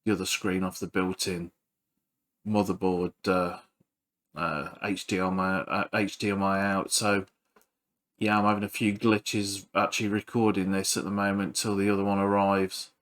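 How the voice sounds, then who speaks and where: A man giving casual hands-on repair commentary as a video host, halting and thinking aloud while he works. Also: sits low, flat delivery, fairly bright tone.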